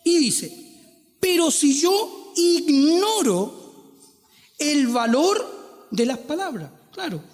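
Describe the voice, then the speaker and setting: A man's voice: very high-pitched, expressive, extremely slow, pauses often, in a large hall. A Chilean man preaching a sermon, posing rhetorical questions and stretching out quoted scripture with emphasis. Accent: Chilean Spanish. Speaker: pastor.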